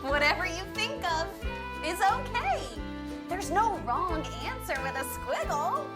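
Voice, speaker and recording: A woman hosting a children's show, giving simple, encouraging instructions in an expressive way. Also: very high voice, a little slow, loud music behind the voice. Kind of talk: instructional kids' show presenting.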